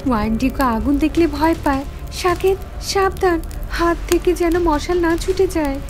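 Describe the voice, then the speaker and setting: A frightened young woman with a very high, tense voice, played by a woman, urgent and breathless. It is a cartoon horror story with faint music behind her. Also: moderate pace. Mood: warning. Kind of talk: animated horror story voice acting.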